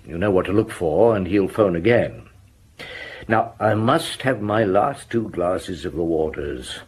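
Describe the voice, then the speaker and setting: An actor playing a middle-aged police inspector in a radio drama, thoughtful, courteous and measured in his speech. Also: low voice, expressive, slightly rough, exaggerated voice. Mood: musing. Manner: measured, musing, deliberate.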